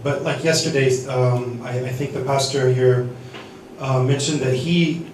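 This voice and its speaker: Halting man teaching in an echoey hall. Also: mid-range voice, fairly even intonation, distant mic, slight background noise. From United States. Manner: halting, many restarts and fillers. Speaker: seminar speaker. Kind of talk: teaching sound-desk basics. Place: church hall.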